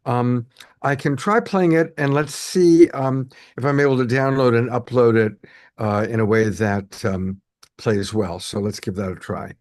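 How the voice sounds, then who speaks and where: A man's voice: medium pitch, measured pace, darkish tone, dry recording. A man hosting a live show, hesitant and full of ums as he explains the next steps. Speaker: host.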